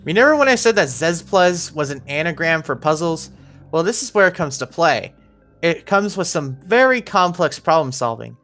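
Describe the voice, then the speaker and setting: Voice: medium pitch, very animated, moderate pace, fairly bright tone. A narrator with a mid-range voice guides players through a game level. The delivery is instructional and step by step, like a scripted walkthrough. Faint music plays underneath. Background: background music.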